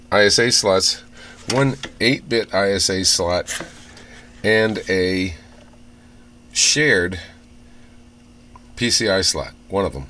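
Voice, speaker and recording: A man giving casual, off-the-cuff hands-on commentary in a halting way, as if muttering to himself while he inspects things. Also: on the low side, a bit bright. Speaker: hobbyist vlogger showing old computer hardware. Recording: clear background noise with room ambience.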